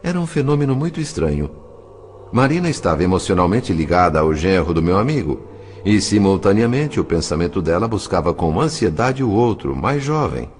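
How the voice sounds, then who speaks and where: A man narrating a dramatised audiobook in a measured, literary, expressive way. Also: low-pitched, a little hiss or hum.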